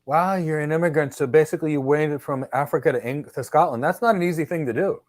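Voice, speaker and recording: A man's voice: a little high, fast talker, no echo, clean studio sound. A man conducting a mock job interview, asking questions in an upbeat, encouraging way.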